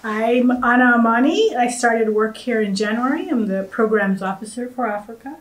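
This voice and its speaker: A woman from Uganda speaking as a panel guest, soft-spoken and halting, with frequent uhs. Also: on the high side, echoey room, poor audio. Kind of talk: casual conference panel talk.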